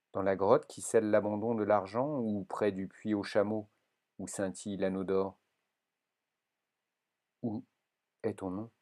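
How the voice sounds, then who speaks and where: A man giving a solemn poetry reading, with measured and deliberate phrasing. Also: lowish voice, darkish tone. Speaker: poetry reader.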